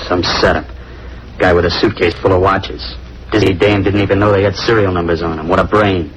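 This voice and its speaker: A low male voice through clear old-recording noise, an actor playing a cynical ex-con burglar. He is sullen and slangy, tossing out wisecracks in vintage radio crime-drama style. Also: fairly even intonation, a little fast, lightly acted. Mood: scornful.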